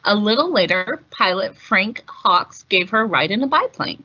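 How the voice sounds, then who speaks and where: A woman with a bright, forward voice narrates a children's picture book at a mid pitch. Her delivery is measured and deliberate, shaped for storytelling. The recording is a little dull and lo-fi. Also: expressive. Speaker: read-aloud host.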